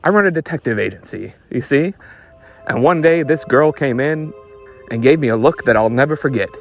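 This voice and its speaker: A man's voice: medium pitch, expressive, moderate pace, lo-fi sound, soft background music, natural voice. A man playing a hard-boiled 1940s private detective in a radio noir parody, wisecracking in clipped old-time gumshoe slang. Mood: brooding, dramatic.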